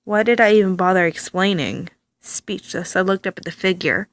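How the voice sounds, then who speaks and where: A woman reading a story game aloud, with animated reactions and stammered asides. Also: medium pitch, normal speed. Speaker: let's play reader.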